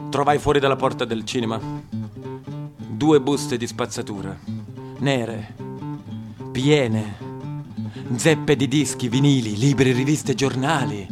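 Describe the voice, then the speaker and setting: A male stage actor performing a theatrical monologue, swinging between scolding the audience and telling a story. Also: medium pitch.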